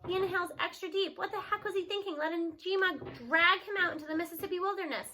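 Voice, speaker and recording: A woman narrating a children's story aloud in an expressive read-aloud style. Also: extremely high pitch, fast.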